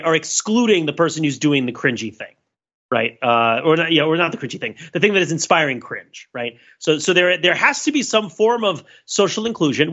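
A man, a podcast co-host talking casually and analytically, explaining his points in a wordy, halting way. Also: a little high, sharp tone, lo-fi sound.

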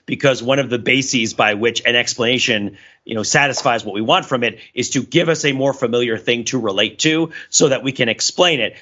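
Bright-voiced man explaining on a podcast. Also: mid-range voice. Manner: discursive, explanatory, builds points through examples. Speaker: podcast co-host. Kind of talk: analytical conversational podcast talk. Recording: dull, lo-fi voice recording.

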